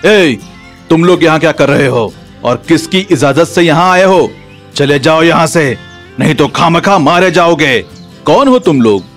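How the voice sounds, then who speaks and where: A man's voice: on the high side, lively intonation, measured pace, soft background music. A man voicing a proud, defiant tribesman guarding something sacred, speaking in a stern, declamatory way. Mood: challenging.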